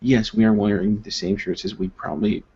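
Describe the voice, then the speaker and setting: A man's voice: fairly low pitch, sing-song, a little fast, creaky, dark tone, breathy edge. A man co-hosting a casual web show, joking and rambling with lots of fillers, heard over a video call. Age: adult father of two. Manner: rambling, playful, filler-heavy. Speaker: co-host. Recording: muffled video-call audio.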